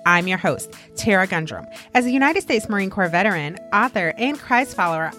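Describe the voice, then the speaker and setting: A woman's voice: on the high side, fairly bright tone. A woman hosting a podcast, reading a scripted intro and interviewing with upbeat enthusiasm. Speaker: podcast host.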